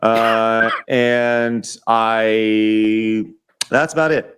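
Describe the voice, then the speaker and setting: A man's voice: on the low side, little pitch movement, drawn-out words, fairly bright tone, clear, resonant tone. A man in his forties, a podcast guest chatting casually over a call, often repeating words and restarting his sentences. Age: 40s. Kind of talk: casual chat. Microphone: remote call audio.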